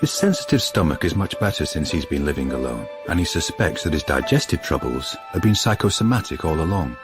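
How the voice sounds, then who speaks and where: A man's voice: extremely low pitch, very animated, fairly quick, over music. A man narrating a radio drama in a scripted storytelling style.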